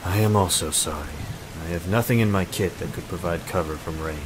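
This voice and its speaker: A man's voice: low-pitched, fairly quick, noisy background, natural voice. A man voicing a terse, stoic, cautious warrior in a visual novel, formal and stern in his way of talking. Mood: grim. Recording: loud music and rain behind. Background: game music and rainfall.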